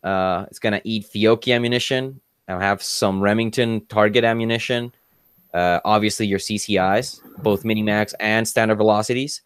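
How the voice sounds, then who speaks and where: A man's voice: on the low side, normal speed, clean tone, no room sound. Young man, dry headset sound. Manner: excitable, lots of fillers and restarts. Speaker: panelist showing a rifle. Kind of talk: casual chat, show-and-tell demo. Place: cluttered workshop indoors. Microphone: headset, video call.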